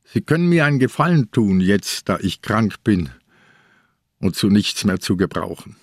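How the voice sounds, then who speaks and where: An actor playing an old, ailing, shrewd police detective in a radio play, speaking in a deliberate, wry way. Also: male voice, on the low side, very animated, no echo, studio quality. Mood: wry, confiding. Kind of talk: radio drama acting.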